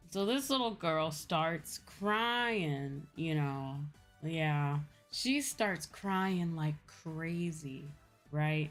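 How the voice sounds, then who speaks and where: A woman with a Midwestern American accent telling stories casually as a livestream host, in an animated, chatty manner. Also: deep voice. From Midwestern United States. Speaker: streamer and VTuber host. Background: background music.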